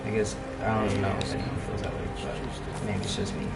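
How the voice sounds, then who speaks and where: Young male streamer, low-pitched, laid-back rambling chat, recorded from across the room with loud game music behind. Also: brisk. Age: young adult. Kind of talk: casual chat while gaming. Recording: distant mic under loud game music.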